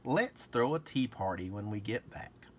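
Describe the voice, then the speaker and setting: A man's voice: fairly low pitch, animated, unhurried, slight background noise. A man voicing a cheerful, thoughtful flower-friend girl in a children's storybook. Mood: bright, friendly.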